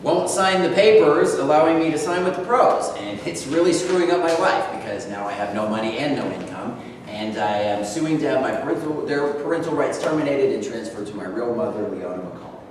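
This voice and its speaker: A man's voice: medium pitch, brisk, slight background noise, natural voice. A man reading the part of a young aspiring basketball player who speaks in an earnest, pleading way in a staged reading. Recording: echoey hall from far off.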